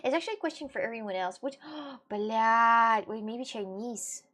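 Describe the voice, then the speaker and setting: A woman's voice: a little high, expressive, normal speed, a bit bright. Giggly rambling woman. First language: Russian. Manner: giggly, rambling, many fillers and restarts. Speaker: streamer chatting with viewers. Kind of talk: casual live-stream chat.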